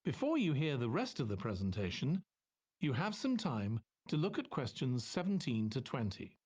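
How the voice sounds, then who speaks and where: A man reading scripted test instructions as an exam announcer in a formal, measured manner. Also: medium pitch, wildly swooping pitch, a little mellow, breathy edge.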